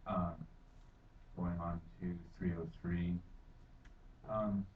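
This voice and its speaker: A deep-voiced middle-aged man testifies in a rambling way, with many fillers, and turns forceful as he goes. He sounds dull and distant, caught across a reverberant council chamber. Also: steady tone, extremely slow. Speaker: public testifier. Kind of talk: public testimony at a council hearing. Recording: echoey hall from far off, dull and noisy.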